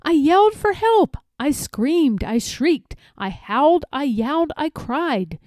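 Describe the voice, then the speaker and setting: A woman's voice: high-pitched, sing-song, slow, a little mellow, no echo, studio quality, voice pushed up, heavily acted. A woman playing a timid storybook character who boasts of bravery but frightens easily, speaking with nervous, dramatic emphasis. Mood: panicked.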